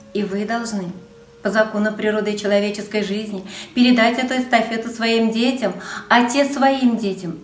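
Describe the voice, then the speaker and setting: An older woman giving a solemn formal greeting and reciting verse in a declamatory manner, filmed indoors. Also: mid-range voice. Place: indoor office with flowers. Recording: roomy, from a distance.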